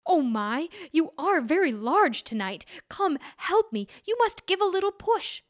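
A woman's voice: big pitch swings, measured pace, dry recording, studio quality. A woman playing a flustered old husband. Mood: pleading. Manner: high, loud and fluttering when alarmed. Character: kindly, fretful old husband who sneezed the moon.

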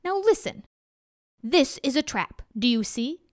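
A woman's voice: high-pitched, very animated, a little slow, no echo, studio quality. Woman playing an impatient, haughty tiger, emphatic. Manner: exasperated, emphatic, imperious. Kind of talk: storybook character voice.

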